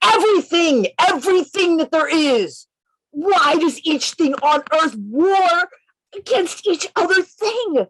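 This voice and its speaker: Femme jouant un narrateur de feuilleton radio, voix très expressive. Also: female voice, very high-pitched, takes it slowly, full character voice, poor audio. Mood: impassioned. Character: earnest male poet-policeman narrating his strange adventure, impassioned. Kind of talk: dramatic narration in an amateur radio play reading. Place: remote reading over a video call.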